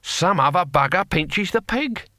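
A scrawny old barbarian warrior for an audiobook. The voice is pitched up high, cackling and sardonic. He speaks bluntly in rough, colloquial words, recorded dry and close. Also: lively intonation, unhurried, sharp tone, no echo, exaggerated voice. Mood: cackling, amused. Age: older. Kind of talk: audiobook character voice.

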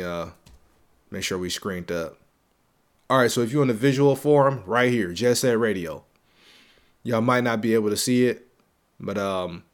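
A man's voice: lowish voice, clean tone. A young man hosting a casual solo gaming podcast, a little stuffy and congested, talking in slang with a hype-man energy and repeating his lines for emphasis. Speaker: podcast host.

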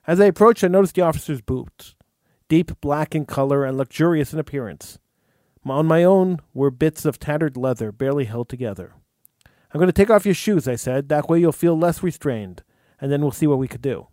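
A man, the host, reading a true story aloud in an earnest, measured way, with an occasional stumble over words. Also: a little high, expressive, a little mellow, dry recording, clean studio sound. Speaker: radio host.

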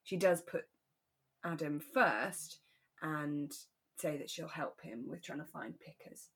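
Low-pitched woman, chatty and reflective. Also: takes it slowly, vocal fry, husky. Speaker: podcast co-host. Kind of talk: casual discussion of a radio drama.

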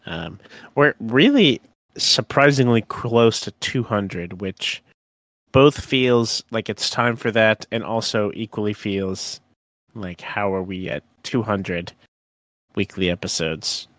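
Man, podcast co-host, upbeat, rambling, slow delivery, low voice, dry sound. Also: a bit bright, dry recording. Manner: upbeat, laughs while rambling. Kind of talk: casual podcast chat.

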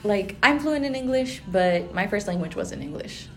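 A woman's voice: medium pitch, lively intonation, moderate pace, fairly bright tone. A young woman with a Tex-Mex accent whose first language was Spanish, co-hosting a casual podcast chat and slipping into Spanglish. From Rio Grande Valley, Texas. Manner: chatty, switches into Spanglish. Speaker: podcast co-host.

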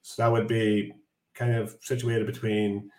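A man with an Irish accent, in his forties, talks through his working life in a loose, rambling way, full of ums and restarts. His voice sits low and stays flat, with little rise or fall. The recording has a noticeable roomy echo around him. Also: unhurried. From Ireland. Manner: chatty, rambling, with many fillers and restarts. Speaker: guest, construction business director. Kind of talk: casual podcast interview. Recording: echoey room.

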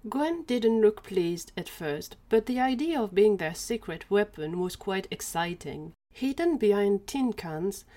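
A woman's voice: medium pitch, mellow. A woman narrating a scripted fiction story as the narrator of an audio drama.

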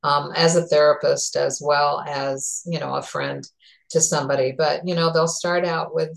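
A woman talk show host and therapist who speaks in a chatty, unhurried way, with frequent ums as she plugs sponsors and introduces her guest. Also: low voice, fairly even intonation, creaky, rough recording.

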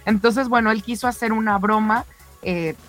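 A woman's voice: on the high side, normal speed, fairly bright tone. Chatty Mexican woman presenting, music underneath. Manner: chatty, addresses co-hosts directly. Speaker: radio show co-host presenting sports news. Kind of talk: conversational sports news report.

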